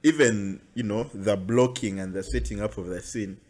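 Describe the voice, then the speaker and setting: A young Ugandan man with a Ugandan accent, hosting a casual podcast and telling chatty, animated stories. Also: on the low side, normal speed. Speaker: podcast host. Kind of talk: casual chat.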